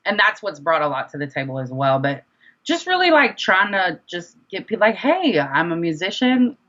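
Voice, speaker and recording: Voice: mid-range voice, big pitch swings, normal speed, fairly bright tone, dull audio. An independent rapper talking casually as an interview guest, chatty and informal, repeating phrases for emphasis.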